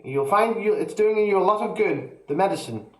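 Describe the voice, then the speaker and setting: A man's voice: sits high, lively intonation, moderate pace, pitched above the performer's own voice. A man reading a story aloud, voicing a sly young boy who talks to his grandmother in a falsely sweet, coaxing way. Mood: mock-polite. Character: cheeky young boy, sly and mock-polite. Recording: roomy echo.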